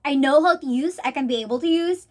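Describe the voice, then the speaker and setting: A young Filipino woman whose first language is Bisaya, answering a pre-test question in English in a halting way, often restarting words and reading from notes. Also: high voice, a little mellow. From Philippines. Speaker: student. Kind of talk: recorded pre-test answer, half read.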